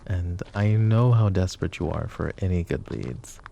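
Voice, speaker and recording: A silky, gravelly mafia boss. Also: male voice, sits low, animated, normal speed, dark tone, slight background noise. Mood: silky flirtatious. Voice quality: smooth, courteous, teasing menace. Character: suave possessive male mafia boss, flirty, menacing. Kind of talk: roleplay audio drama, addressing the listener.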